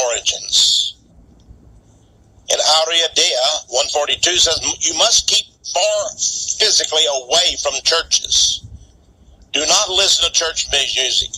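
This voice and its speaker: A man giving an emphatic, deliberate polemical talk, reading from a list and adding spoken asides. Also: fairly high pitch, bright, forceful tone, breathy edge, low-quality recording.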